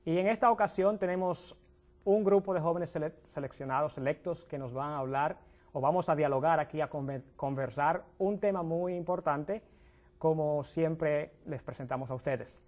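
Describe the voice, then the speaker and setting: A man's voice: a little high, darkish tone. Man hosting a youth show. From Dominican Republic. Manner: halting, restarts words, addresses viewers at home. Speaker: youth pastor and host. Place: TV studio.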